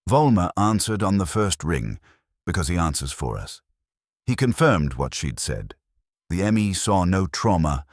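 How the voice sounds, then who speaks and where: A man's voice: extremely low pitch, breathy edge, dry recording. A man reading a hard-boiled detective story in the first person as scripted audiobook narration, with a dry, wry manner.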